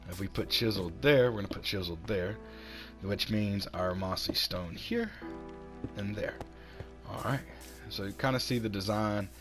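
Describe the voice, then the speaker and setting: A man with a cold, hoarse and a little raspy, chatting casually while he builds in a video game and talks himself through each step. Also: lowish voice, moderate pace, over music. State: hoarse from sinus infection. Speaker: gaming channel host.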